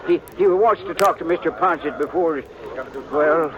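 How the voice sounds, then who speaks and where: Nervous young townsman played by an actor, stammering and hurried in a 1950s radio play. His high voice sits over a murmuring crowd. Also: narrow, tinny audio, lightly acted. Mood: alarmed. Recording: old radio broadcast, crowd behind.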